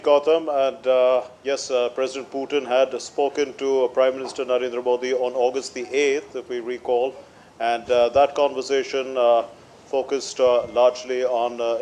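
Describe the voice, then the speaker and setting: Halting male news correspondent, flat delivery. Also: medium pitch, a little hiss or hum. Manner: halting, hesitant phrasing with frequent fillers. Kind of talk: live unscripted news analysis.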